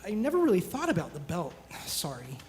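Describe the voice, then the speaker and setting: A woman's voice: lowish voice, wildly swooping pitch, a little fast, darkish tone, a little hiss or hum. A woman playing an anxious, aggrieved young student in a live radio play, hesitant, stammering and emotional. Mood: uncertain. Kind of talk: live radio theatre acting.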